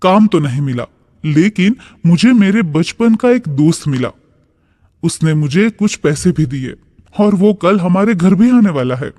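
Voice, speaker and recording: Poor village farmer played by a man: worried, humble, high-pitched, unhurried, cartoon dialogue with long reverb. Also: lively intonation, a little mellow. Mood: downcast.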